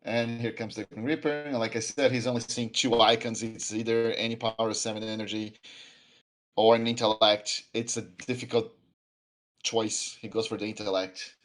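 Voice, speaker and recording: A man's voice: on the low side, level tone, moderate pace, a bit bright, low-quality recording. A man giving live color commentary on a card game match, chatty and agreeable. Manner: chatty, agreeable, frequent fillers.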